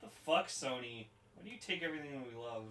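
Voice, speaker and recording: A man's voice: mid-range voice, expressive, fast. A man in his late twenties co-hosting a podcast, chatting bluntly and swearing freely. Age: 20s. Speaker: podcast co-host. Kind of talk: casual chat. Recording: bright, roomy, off-mic with faint hiss.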